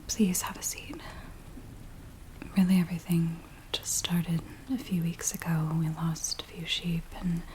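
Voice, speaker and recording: A woman playing a frightened villager in a soft, breathy, whispered ASMR roleplay, speaking in a hushed, hesitant way. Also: lowish voice, lightly acted. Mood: anxious, relieved. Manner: hushed, hesitant, slow storytelling. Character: frightened village woman pleading with a werewolf tracker. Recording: steady rain-like background noise. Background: rain sounds.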